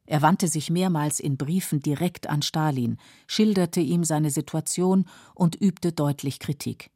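A woman reading scripted biographical narration in a measured, matter-of-fact way, as a documentary narrator. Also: low-pitched, dark tone, no room sound, clean studio sound. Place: dry studio.

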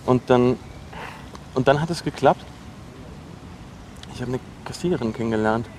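An actor playing a defensive, awkward young dropout in a naturalistic radio drama, halting and repeating himself as he talks. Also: mid-range voice, darkish tone, lightly acted. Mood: insistent, confessional. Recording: noisy location recording with ambient hum.

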